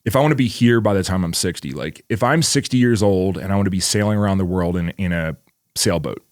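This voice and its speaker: Podcast co-host, male, around forty, very low voice, dry close sound, loose rambling delivery with frequent cursing. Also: fast, dry recording. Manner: rambling, swears freely, many "like" fillers. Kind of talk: casual chat.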